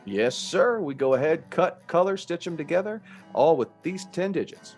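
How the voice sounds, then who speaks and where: A man's voice: fairly high pitch, very animated, moderate pace, a little mellow. A man acting a friendly, folksy cowboy-style shopkeeper in a comic radio advert, with an obliging drawl. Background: plucked guitar music.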